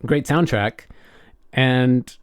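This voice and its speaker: A man with a mid-pitched voice chats casually as a podcast co-host. He is enthusiastic but halting, often repeating and rephrasing words as he goes. The sound is dry, with no room echo. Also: clean tone. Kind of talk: casual chat, telling a personal anecdote.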